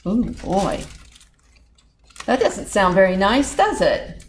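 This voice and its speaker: Female storytime librarian, expressive, mid-pitched, chatting to children as she reads, faint background noise. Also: slow. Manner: expressive, talks directly to young listeners. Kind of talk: animated children's storybook read-aloud with asides.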